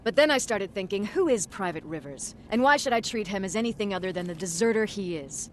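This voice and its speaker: An actress playing a sarcastic, menacing military field commander in a video game. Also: female voice, mid-range voice, lively intonation, fairly quick, a little hiss or hum, lightly acted. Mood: scornful, threatening. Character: scornful, authoritative female military field commander. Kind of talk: dramatic video game acting.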